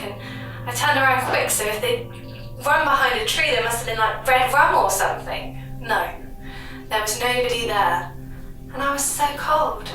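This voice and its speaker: Very echoey and off-mic, a wary waitress with a bright, fairly high voice. She gives her account in halting, colloquial phrases, as a witness on an interview tape in an audio drama. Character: wary young waitress recounting an eerie night encounter. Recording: echoey old interview tape, distant microphone.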